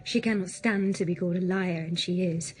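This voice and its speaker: An actress playing a desperate, obsessive governess in a gothic audio drama, speaking with urgent insistence. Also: female voice, lowish voice, steady tone, a little fast, a little mellow, soft background music, lightly acted. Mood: urgent resolve.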